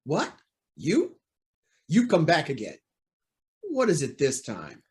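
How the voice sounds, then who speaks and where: Radio drama judge, acted by a man: proud, indignant, formal, fairly high voice with lively swings. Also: slow, heavily acted. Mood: indignant, agitated. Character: proud, indignant male judge under pressure. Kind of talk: old-time radio drama acting.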